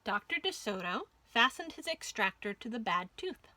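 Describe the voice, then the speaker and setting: A woman's voice: a little high, sing-song, moderate pace, bright, forceful tone, slight background noise. A woman narrating a children's story expressively as a storytime host.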